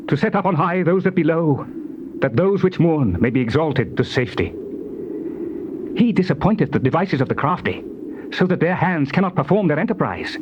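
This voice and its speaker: An actor playing a solemn, admonishing friend in a dramatized Bible reading, theatrical and measured in delivery. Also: male voice, on the high side. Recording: muffled, noisy old cassette tape.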